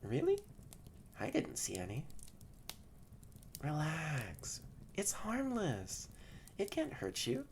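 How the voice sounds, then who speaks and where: A bratty tsundere catboy played by a woman in a roleplay audio drama, pitched very low and swinging up and down in lively curves. He talks at an unhurried pace, bossy and easily flustered with a soft heart underneath, over a noisy background. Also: heavily acted. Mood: surprised, grudgingly pleased. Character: haughty, tsundere young male catboy, secretly soft-hearted. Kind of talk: scripted roleplay audio drama, addressed to the listener.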